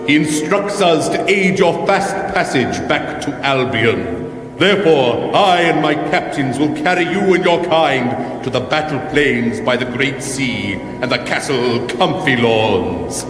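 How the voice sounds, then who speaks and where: An actor playing a stately eagle chieftain in a radio fantasy comedy, grand, formal and ceremonious. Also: male voice, medium pitch, lively intonation, lightly acted. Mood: pompous, commanding. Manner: pompous, ceremonious, measured. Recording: echoing reverb over loud music.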